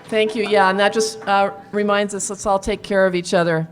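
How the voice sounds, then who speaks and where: A woman's voice: mid-range voice, little pitch movement, fairly quick. A woman hosting an event, giving brief introductions of the next speakers. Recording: clearly noisy live event pickup.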